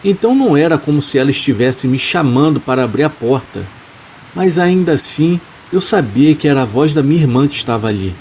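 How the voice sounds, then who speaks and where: A man calmly reading first-person horror stories in a steady, scripted narration. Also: fairly high pitch, fairly quick. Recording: faint rain and hiss behind the voice. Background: rain sounds.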